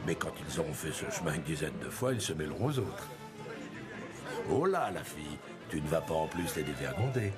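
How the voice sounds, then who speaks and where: A man's voice: a bass voice, big pitch swings, a little fast, over loud music, over a noisy crowd. A man playing a genial, canny middle-aged innkeeper in a French cartoon, talking in a familiar, teasing manner. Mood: bantering. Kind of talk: French cartoon dub acting.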